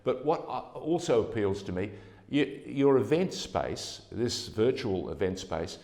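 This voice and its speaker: A man's voice: on the low side, expressive, unhurried, creaky, darkish tone. A man hosting a business interview in a broadcast style, recorded in an echoey, reverberant space. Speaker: interview host. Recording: echoey room with long reverb.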